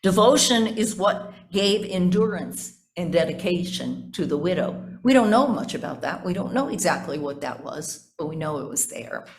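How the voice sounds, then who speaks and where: An older woman pastor giving a reflective sermon, deliberate and emphatic, with conversational asides and folksy questions to her listeners. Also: on the low side, animated.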